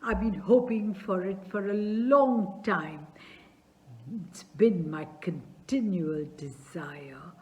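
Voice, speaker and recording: A woman reading aloud as an effusive, fussy older male landowner who showers his guest with endearments. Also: takes it slowly. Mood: overjoyed. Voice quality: gushing, loud and high-pitched endearments.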